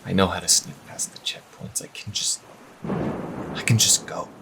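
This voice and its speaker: A man's voice: low-pitched, steady tone, measured pace, creaky. A man playing a defiant healer pleading with his lover in an intense, emotional fantasy audio drama. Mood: sad, resolute. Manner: intense, emotional, swinging from hushed to shouting. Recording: rain and thunder noise behind.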